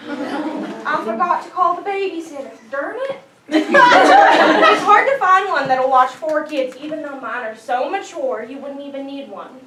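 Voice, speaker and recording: A woman's voice: sits high, sing-song, a little slow. A woman playing a sweet, scatterbrained neighbourhood hostess in a staged play reading, rambling on with sugary, backhanded politeness. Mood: breezy, rambling. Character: sweet, chatty, scatterbrained neighbourhood lady throwing a party. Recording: distant roomy hall, clear background noise.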